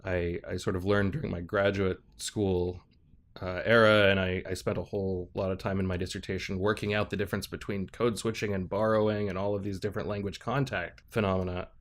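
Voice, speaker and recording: A man, a professor on a podcast as a guest, explaining ideas in a measured, thoughtful way and checking in with "right?" as he goes. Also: sits low, flat delivery. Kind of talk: explanatory interview talk.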